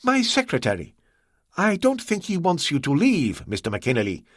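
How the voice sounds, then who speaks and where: A man voicing a suave, soft-spoken crime boss who threatens with quiet courtesy in an audiobook story. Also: on the high side, huge pitch swings, moderate pace, no echo, clean studio sound, voice pushed up. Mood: courteous. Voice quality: smooth, silky, quietly threatening.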